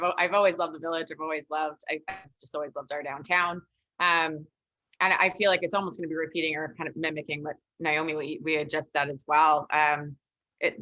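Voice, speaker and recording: Low, bright female voice, enthusiastic shop owner on a video call, dry audio. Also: dry recording, poor audio. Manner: enthusiastic, chatty, laughing. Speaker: small business owner. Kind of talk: casual panel talk.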